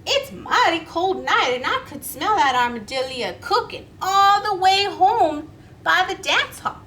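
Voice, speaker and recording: Woman playing a high-voiced earnest cowboy critter. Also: lively intonation, a little slow, pushed and bright, slight background noise, full character voice. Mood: wheedling. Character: hungry, hopeful dancing cowboy critter, male. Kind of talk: children's storybook read-aloud.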